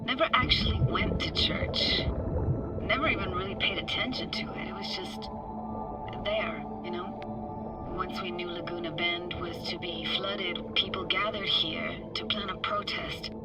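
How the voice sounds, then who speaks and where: A woman's voice: fairly low pitch, a little slow, loud music behind the voice, close to an everyday voice. A young woman acting a frank, wry character in a video game, speaking in a casual, slangy, conversational way. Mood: surprised, reflective. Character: frank, wry young woman reminiscing about her drowned hometown.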